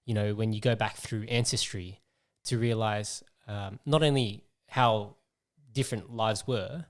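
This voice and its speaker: A man's voice: fairly low pitch, steady tone, a little slow. A man hosting a casual podcast interview, talking in a rambling way, repeating words and digressing. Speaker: podcast host.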